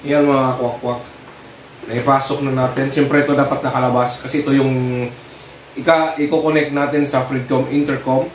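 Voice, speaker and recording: A Filipino man giving a casual hands-on tutorial, talking informally in short, halting phrases as he works. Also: mid-range voice, flat delivery. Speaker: vlogger demonstrating product installation. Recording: roomy, distant mic with clear noise.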